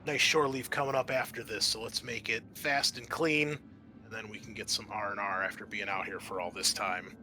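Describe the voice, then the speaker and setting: A man playing a brisk, hard-boiled captain of space raiders in a tabletop game, blunt and profane. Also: lowish voice, fairly even intonation, bright, forceful tone. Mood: casually menacing.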